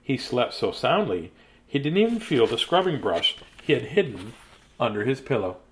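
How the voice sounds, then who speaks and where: A man in his fifties reads children's bedtime picture books in an animated, playful, childlike way, with chatty asides. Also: medium pitch, normal speed, slight background noise, rough recording. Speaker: children's storyteller. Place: small decorated room indoors.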